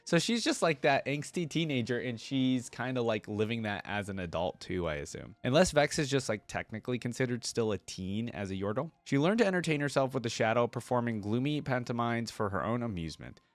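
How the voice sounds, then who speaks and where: A man hosting a reaction channel, casually commenting and reading aloud, thinking out loud with informal asides. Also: medium pitch, expressive, brisk, hardly any pauses, no room sound.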